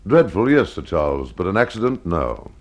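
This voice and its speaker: A man playing a confident police surgeon, speaking firmly and a little louder and higher than his narration. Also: sing-song, normal speed, heavily acted.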